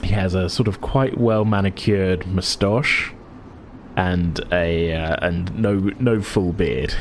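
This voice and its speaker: A man's voice: low voice, takes it slowly, a little hiss or hum. A man acting as game master, improvising descriptive narration for a tabletop game in a hesitant, searching way.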